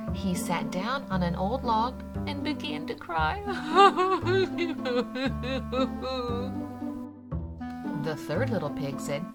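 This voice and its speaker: A woman reading a children's story aloud in an expressive, playful way, with music behind her. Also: mid-range voice. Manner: expressive, playful sound words. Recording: loud music under the voice.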